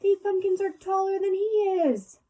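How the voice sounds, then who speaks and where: A woman's voice: very high voice, normal speed, warm tone, slight background noise. A woman reading a children's picture book aloud like a teacher, adding explanatory asides and speaking directly to young listeners.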